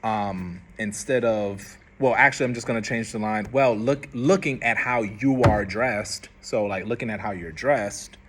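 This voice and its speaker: A man directing a rehearsal in a theater, giving script notes in a casual, halting way with many restarts. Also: on the low side, fairly bright tone. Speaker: director and playwright. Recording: noisy room.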